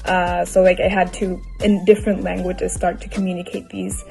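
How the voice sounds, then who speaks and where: Gravelly-voiced woman from Ecuador. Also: lowish voice, level tone, moderate pace, a little mellow, soft background music. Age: young adult. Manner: hesitant, many restarts.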